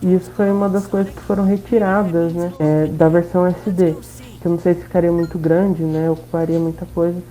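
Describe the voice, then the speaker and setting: A woman's voice: fairly low pitch, level tone, fairly quick, warm tone. A woman with a Brazilian accent giving casual, informal gameplay commentary, often adding little tag questions. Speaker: gaming video host. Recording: dull, lo-fi sound, faint game music.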